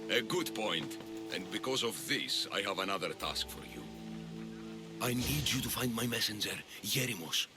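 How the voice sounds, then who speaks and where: Low-voiced, stern Athenian general. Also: male voice, animated, normal speed, over music. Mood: guarded, insistent. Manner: commanding, curt, self-important. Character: stern, ambitious male Athenian general, proud and scheming. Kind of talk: scripted video game dialogue.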